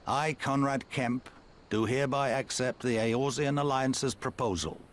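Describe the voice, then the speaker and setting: An actor playing a blunt older resistance commander in a video game, weary and proud, with measured, folksy speech. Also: male voice, mid-range voice, slight background noise, close to an everyday voice. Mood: formal, solemn. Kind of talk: video game cutscene acting.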